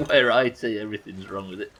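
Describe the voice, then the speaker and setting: Low-voiced, animated English man streaming games. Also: noisy background. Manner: sweary, jokey, reads chat aloud. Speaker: live game streamer. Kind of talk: casual gaming commentary with chat banter. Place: indoors at a desk.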